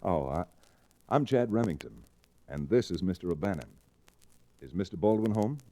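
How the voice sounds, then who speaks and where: An actor playing a level-headed, educated frontier lawyer hero in an old-time radio western, calm and measured. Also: male voice, low-pitched, a little hiss or hum. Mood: easygoing. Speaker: lead actor and in-character narrator. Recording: restored vintage radio drama recording.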